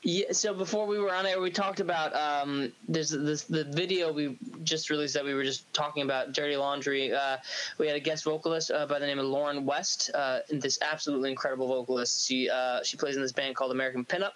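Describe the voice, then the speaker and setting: A man's voice: little pitch movement, brisk, a bit bright, poor audio. A man, a band singer on a podcast, chatting casually with lots of restarts and easy laughter. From New York. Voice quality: light, high singing voice described as feminine. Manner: rambling with many restarts, laughs easily.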